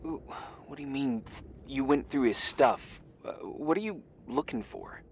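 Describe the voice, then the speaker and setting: A man's voice: medium pitch, expressive, unhurried, husky, lo-fi sound. An actor playing a casual, wry and mildly skeptical man in naturalistic game dialogue, offhand and hesitant, a little evasive. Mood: wary, offhand.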